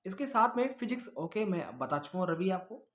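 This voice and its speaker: A man's voice: sits high, very animated, a little fast. A man teaching exam students informally online, explaining things with repetition and check-in questions, mixing in English words. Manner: explanatory, repeats himself, with check-in tags; mixes English words into speech.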